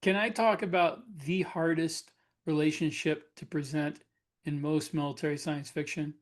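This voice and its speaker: A man with a somewhat high voice argues his view on a podcast with earnest insistence. He keeps a casual, conversational flow. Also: fairly even intonation, moderate pace. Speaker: podcast co-host, author. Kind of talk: casual chat.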